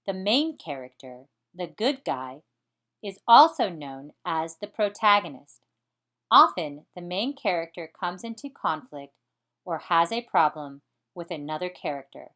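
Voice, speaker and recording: A woman teacher giving an instructional lesson, explaining deliberately step by step. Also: lowish voice, a bit bright. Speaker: teacher presenting a writing activity.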